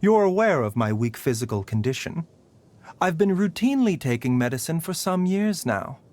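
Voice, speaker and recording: An actor voicing a genteel, scholarly male physician for a game, frail in health, speaking in a polite, measured, gently wry way. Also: fairly high pitch, very animated. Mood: courteous, reflective. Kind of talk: scripted game character voice lines.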